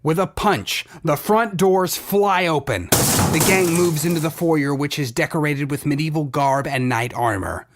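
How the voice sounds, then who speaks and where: A man's voice: on the high side, measured pace, background noise. A man giving theatrical scripted narration as the storyteller of an adventure audio drama.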